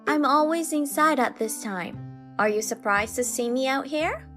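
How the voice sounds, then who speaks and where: Manga-dub heroine, played by a woman: wary young woman, high register, deliberate pace, earnest and accusing. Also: fairly bright tone, faint music behind. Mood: firm, accusing. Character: earnest, wary young bride-to-be standing up to harassment. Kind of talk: dramatized manga story dub.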